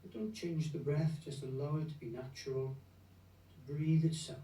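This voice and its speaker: A man leading a guided meditation in a gentle, unhurried, soothing manner, leaving long pauses between phrases. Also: a little high, steady tone. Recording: roomy echo, distant from the mic.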